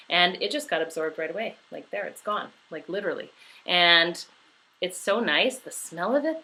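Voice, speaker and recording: A middle-aged woman from Western Canada chatting warmly about skincare products, gushing, with many fillers and restarts. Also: lowish voice, rough recording. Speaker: beauty vlogger. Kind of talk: casual product review.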